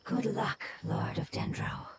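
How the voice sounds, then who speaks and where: A woman voicing a solemn, gentle forest spirit in a video game, soft and formal in speech, with music behind her. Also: unhurried, noisy background, natural voice. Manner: grave, formal. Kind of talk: video game character acting.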